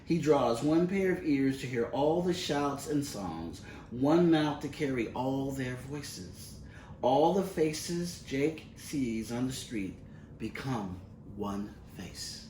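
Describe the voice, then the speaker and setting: A man reading a children's storybook aloud expressively, in measured, deliberate phrases with pauses. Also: medium pitch, animated, dark tone. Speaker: picture book reader. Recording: roomy, distant mic with faint noise.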